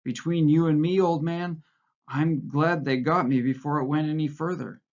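A man's voice: fairly high pitch, fairly even intonation, normal speed, voice pushed up, natural voice. A man voicing a frightened, fawning middle-aged male prisoner who pleads eagerly and nearly blubbers. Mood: anxious, sanctimonious. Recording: muffled lo-fi recording.

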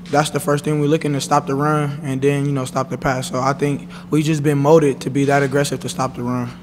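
A young man, a college football player, answering reporters after a game in a relaxed, plain way with many fillers. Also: mid-range voice, brisk, long reverb tail, a little hiss or hum. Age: young adult. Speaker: college football defensive back. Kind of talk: postgame press conference answers. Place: press conference room, indoors. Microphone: table microphone.

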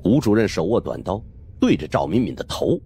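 A man narrating a suspense audiobook in the first person, with dramatic, animated delivery. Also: low-pitched, a little fast, husky.

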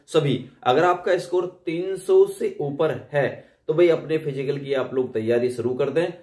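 A young man talks in a medium-pitched voice. He explains things informally, as if to students, circling back over points with tag questions. The room around him is noticeably echoey. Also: darkish tone. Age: young adult. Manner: repeats himself, frequent "hai na" tag questions. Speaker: host of exam update videos.

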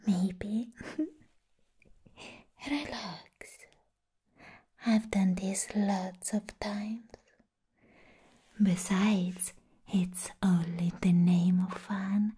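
A young woman playing a mad, playful villainess in a breathy, whispered ASMR roleplay, teasing and menacing. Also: medium pitch, extremely slow, pauses often, warm tone, exaggerated voice. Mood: teasing menace. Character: mad, playful female comic-book villain, giddy and menacing.

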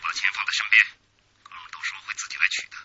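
A man's voice: very fast, in a whisper. A male actor playing a taunting, brazen gangster in a radio drama, sneering and hissing threats over the phone. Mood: menacing, taunting. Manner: sneering, threatening, hissed breathy delivery. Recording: dull, very noisy phone-call sound.

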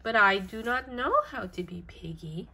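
A woman's voice: mid-range voice, moderate pace, creaky, bright, forceful tone, pitched below the performer's own voice. Woman as an anxious, careful little storybook pig. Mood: worried.

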